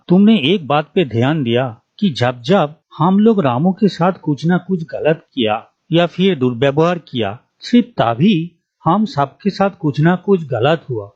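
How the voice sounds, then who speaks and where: A man playing an uneasy, bad-tempered village father in an animated story, speaking with dramatic emphasis. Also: a little high, slightly rough, dry recording. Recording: dull lo-fi studio track, faint music.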